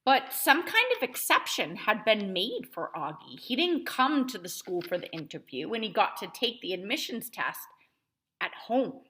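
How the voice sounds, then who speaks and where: A woman reads a children's novel aloud. Her voice is bright and crisp-edged, with very lively, wide-moving intonation as she narrates from a child's point of view. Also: medium pitch, moderate pace. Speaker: storybook reader narrating as a child.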